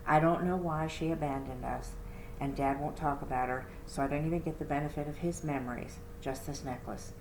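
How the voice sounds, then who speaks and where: A woman with a low voice narrates a first-person children's novel. She reads expressively, as a librarian would at story time. The room is echoey, with faint background noise. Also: steady tone, brisk. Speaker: librarian reading aloud. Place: indoor room, roomy sound.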